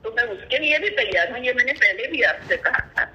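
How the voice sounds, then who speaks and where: A woman's voice: on the high side, lively intonation, brisk, sharp tone. A woman speaking earnestly and deferentially on a phone call, pleading her case over a noisy line. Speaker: customer on the call.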